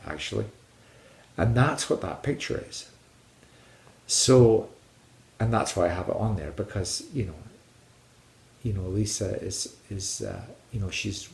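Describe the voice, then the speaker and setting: A man from Scotland who vlogs about hiking, telling personal stories casually to his viewers in a rambling, halting way that often repeats phrases. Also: on the low side, vocal fry, a little mellow. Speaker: hiking vlogger. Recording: echoey room.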